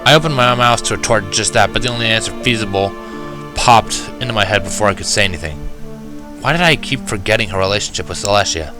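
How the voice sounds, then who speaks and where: A man's voice: on the low side, fast, over music. A man reading a fan-fiction story aloud as scripted first-person narration.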